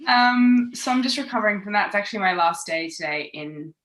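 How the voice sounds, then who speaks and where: Bright, chatty woman from Australia. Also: mid-range voice. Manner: chatty, laughing, many fillers and restarts. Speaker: podcast guest. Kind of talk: casual chat. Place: home in London.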